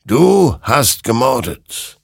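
A man acting out a ghostly rider of death, very slow, gravelly, hissing, solemn, menacing, audio story. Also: mid-range voice, big pitch swings, dry recording, clean studio sound, pitched above the performer's own voice, full character voice. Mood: accusing. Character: smoky spectral horseman of death, omniscient, menacing.

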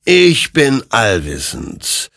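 A man voicing an ancient, all-knowing rider of burning souls in an audiobook, cold and menacing, with slow, solemn pronouncements. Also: medium pitch, huge pitch swings, fairly bright tone, dry recording, clean studio sound, voice pushed up, exaggerated voice. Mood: solemn, ominous.

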